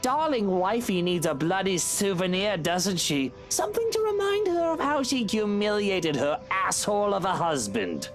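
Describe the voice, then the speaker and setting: An actor with a British accent playing an arrogant, foul-mouthed wealthy husband in a video game, sneering and theatrical. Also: male voice, sits high, big pitch swings, normal speed, hardly any pauses. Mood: bitter mockery. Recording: background music.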